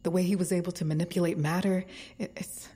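An actress playing a skeptical, principled and analytical woman in an audio drama, with a husky, soft-edged voice. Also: on the low side, steady tone, fast talker. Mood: suspicious, hushed.

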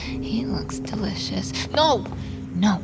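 An actress playing a centuries-old starving vampire woman in an audio drama, anguished and halting, guilt-ridden and desperate. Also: medium pitch, expressive, creaky, music in the background. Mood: tormented, tempted. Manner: halting, anguished, breaking into whispers. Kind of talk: intimate audio-drama acting with breathy asides.

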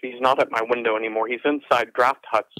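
An anxious, tender partner in an audio drama, talking into voicemail with a medium-pitched voice. He hesitates and rambles, stumbling over his words. The telephone sound is dry, with no room around it. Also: fairly even intonation, a little fast, sharp tone, no room sound, poor audio. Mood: confused, alarmed.

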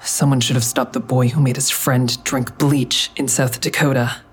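An actress playing a hard-edged, skeptical woman interrogating an enemy in an audio drama, with a husky voice and terse, pointed, scornful questions. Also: extremely low pitch, fairly even intonation, normal speed, faint music behind. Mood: challenging. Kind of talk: full-cast audio drama acting.

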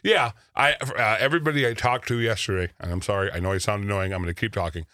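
A man's voice: fairly low pitch, quick pace, bright, forceful tone, no echo, clean studio sound. A man co-hosting a radio show, talking in a slurred, lisping way because of a device in his mouth, laughing often as he chats. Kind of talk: casual banter.

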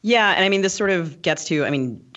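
A man's voice: high voice, lively intonation, fairly quick, pushed and bright. A man co-hosting a casual interview, talking in a discursive way and often qualifying his own points. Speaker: podcast co-host interviewer. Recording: dull lo-fi sound.

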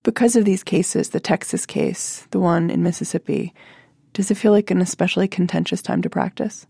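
American female interviewer, mellow and gravelly with vocal fry, conversational questioning. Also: on the low side, steady tone, moderate pace, dry recording, studio quality.

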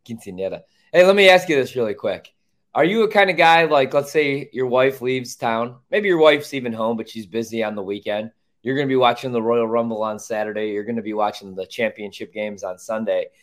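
A man with an ordinary mid-pitched voice chats casually about sports as a podcast co-host. He speaks bluntly, pushes his opinions and drops swear words freely into everyday conversation. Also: fairly quick.

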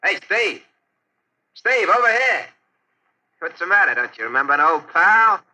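A man's voice: high voice, very animated, normal speed, bright, forceful tone, exaggerated voice, poor audio. An actor playing a cocky, greedy American racketeer with slangy tough-guy talk in a 1940s radio crime drama. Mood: falsely hearty. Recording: vintage 1940s radio broadcast.

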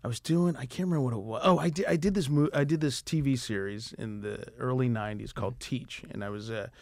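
A man from Washington, D.C., a guest actor and director, telling stories in a relaxed podcast chat and laughing often. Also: medium pitch, quick pace, no echo, studio quality. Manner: laughs often, animated anecdotes. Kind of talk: casual storytelling chat.

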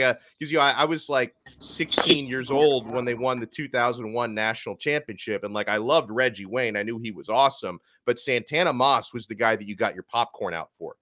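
A man in his thirties hosting a sports podcast in a casual, energetic chatty way. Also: mid-range voice. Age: 30s. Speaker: podcast host.

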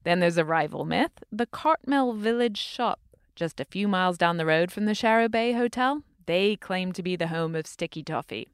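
A woman's voice: lowish voice, animated, moderate pace, smooth, no room sound, studio quality. British woman narrating a podcast. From Britain. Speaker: podcast host. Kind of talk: scripted narration.

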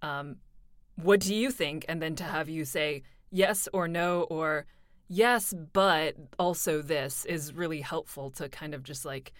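Low-voiced Canadian woman, reflective and earnest. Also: unhurried, dry recording, clean studio sound. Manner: reflective, earnest, playful asides. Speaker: voice actor. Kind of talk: casual remote chat.

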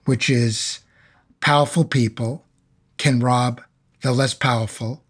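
A male Shakespeare scholar, analytical and measured. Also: medium pitch, fairly even intonation, a bit bright, husky.

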